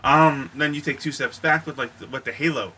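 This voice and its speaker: A man's voice: on the high side, fairly quick, bright, forceful tone, breathy edge, rough recording. A man co-hosting a podcast in casual conversation, talking in an emphatic, blunt way with some swearing. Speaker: podcast co-host. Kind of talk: casual chat about movies and games.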